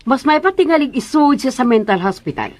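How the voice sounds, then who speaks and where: High-voiced, skeptical Filipino matron. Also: female voice, sing-song, brisk. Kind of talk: Philippine radio drama acting.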